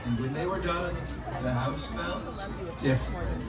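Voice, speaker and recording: A performer playing a wise village rabbi and storyteller in a children's puppet show, deliberate and musing. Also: medium pitch, loud music behind the voice, over a noisy crowd, natural voice. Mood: measured, wise. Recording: echoey, distant stage with audience noise.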